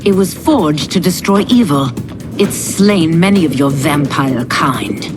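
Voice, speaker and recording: A woman's voice: low-pitched, expressive, unhurried. An actress playing a solemn, resolute warrior woman in a video game, speaking in a grave and formal way. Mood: defiant. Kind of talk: video game cutscene acting. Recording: loud music behind.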